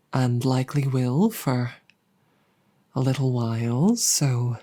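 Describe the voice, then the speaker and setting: A woman voicing an embarrassed, affectionate dragon girlfriend in a roleplay audio drama, speaking formally and apologetically with many halting stammers. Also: a bass voice, mellow, exaggerated voice.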